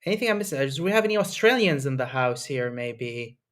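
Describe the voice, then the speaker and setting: A man's voice: mid-range voice, lively intonation, brisk, a bit bright. A young man from Lebanon hosting a live online webinar, talking in an enthusiastic, conversational way with frequent fillers and "right?" tags. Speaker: webinar host and presenter.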